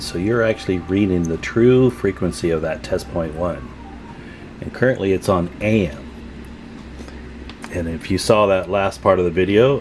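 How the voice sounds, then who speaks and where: A man giving a casual hands-on repair tutorial, talking informally and haltingly as he thinks aloud while working. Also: low-pitched, a little hiss or hum. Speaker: video host demonstrating CB radio tune-up.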